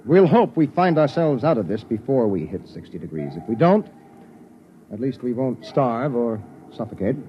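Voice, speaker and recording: An actor playing a resolute starship captain, speaking formally and with clipped authority in 1950s radio drama style. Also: male voice, medium pitch, sing-song, normal speed, lightly acted. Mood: grim command. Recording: muffled vintage radio, faint noise.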